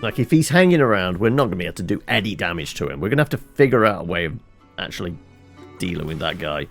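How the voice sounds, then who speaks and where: Gravelly low-voiced man narrating gameplay. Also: very animated, fast, faint music behind. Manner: muttering thoughts aloud while playing, casual swearing. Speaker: gaming channel host. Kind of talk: casual let's-play commentary.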